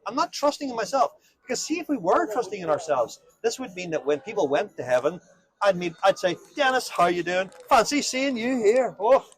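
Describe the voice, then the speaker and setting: A man's voice: high voice, low-quality recording. A man speaking as a street evangelist, explaining earnestly and informally, often putting questions to his listener. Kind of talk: informal street preaching and conversation. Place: outdoors on a town street.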